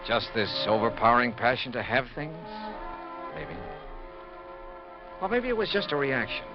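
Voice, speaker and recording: An actor playing a hard-boiled, wry male investigator with a clipped, deliberate delivery in a 1950s radio detective drama. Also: mid-range voice, very animated, over music, close to an everyday voice. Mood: weary, reflective. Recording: muffled old radio recording.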